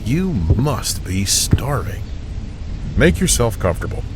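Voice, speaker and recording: A man playing an older, sinister, genteel reclusive scientist, soft-spoken, polite and deliberate, in a horror audio drama. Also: mid-range voice, sing-song, background noise. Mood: sinister hospitality.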